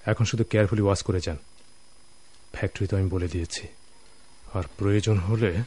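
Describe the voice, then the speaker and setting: A man with a Bangladeshi accent playing a scheming, smug senior company officer in a radio drama, sly and controlled with quiet menace. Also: low-pitched, steady tone, brisk, background noise, close to an everyday voice.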